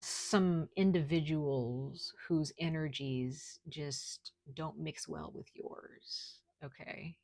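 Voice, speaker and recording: Brief: low, gravelly-voiced woman doing a casual tarot reading, dry close sound, soft delivery that keeps checking in with "okay?". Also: steady tone, slow pace, few pauses, dry recording. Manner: speaks softly at times, lots of "like" and "okay?". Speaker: tarot reader. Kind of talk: casual spiritual reading to camera. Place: at home, noise outside.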